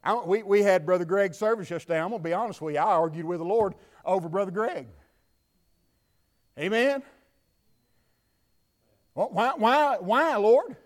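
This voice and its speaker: High-voiced Southern preacher, fervent. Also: male voice, moderate pace, pauses often. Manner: fervent preaching, builds to shouts then drops quiet. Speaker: pastor. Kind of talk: sermon. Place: church.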